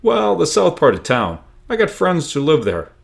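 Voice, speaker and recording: A man's voice: a little high, huge pitch swings, moderate pace, gravelly, pitched above the performer's own voice, exaggerated voice. A man voicing a carefree, cocky schoolboy joker in a book reading, with a breezy, teasing manner. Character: joking, carefree, cocky schoolboy class president.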